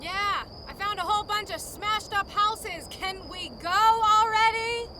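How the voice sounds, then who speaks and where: A sassy young scavenger in an audio drama. The voice is extremely high, impatient and sardonic. Clear outdoor noise and insects surround it. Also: female voice, a little slow, bright, forceful tone, exaggerated voice. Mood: bored, impatient.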